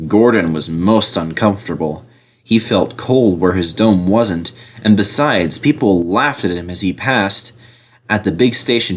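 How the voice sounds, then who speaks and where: A man reads a children's story aloud as narrator. His voice sits fairly low with a husky edge, and his delivery is expressive, with very lively intonation.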